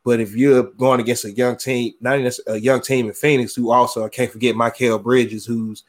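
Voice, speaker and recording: A man co-hosting a sports podcast, walking through his picks in a steady, methodical way. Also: mid-range voice, fairly quick. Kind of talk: casual sports analysis.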